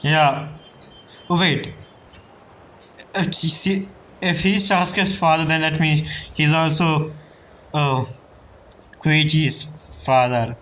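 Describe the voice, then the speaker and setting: A woman giving casual, halting gameplay commentary in giggly asides as she plays a story game. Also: sits low, steady tone, a bit bright. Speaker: streamer playing a story game.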